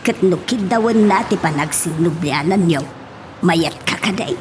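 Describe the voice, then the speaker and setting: A woman's voice: lowish voice, unhurried, a little hiss or hum, lightly acted. A woman playing a caring, coaxing mother with an emotive manner in an Ilocano audio drama. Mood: gently coaxing. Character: caring, coaxing mother advising her grown child.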